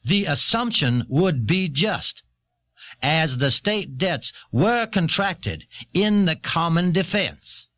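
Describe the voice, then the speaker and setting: An actor playing a formal 18th-century American delegate, delivering a stately dramatised speech. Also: male voice, on the high side, big pitch swings, slow, a bit bright, full character voice. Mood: formal, assertive. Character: John Rutledge, formal 18th-century South Carolina delegate. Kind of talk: dramatised historical quotation. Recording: dull old audio cassette.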